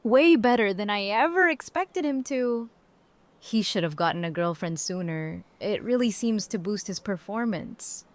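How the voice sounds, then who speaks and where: A woman's voice: mid-range voice, animated, a little slow, natural voice. A woman playing a brash, outspoken survivor of about thirty in an audio drama, blunt and casual. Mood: brisk, teasing. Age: 30s.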